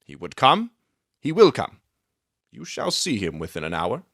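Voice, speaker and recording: A man playing a self-assured gentleman detective in a dramatic audiobook, theatrical and articulate as he expounds his deductions. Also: medium pitch, huge pitch swings, measured pace, no echo, studio quality, exaggerated voice. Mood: confident, explaining.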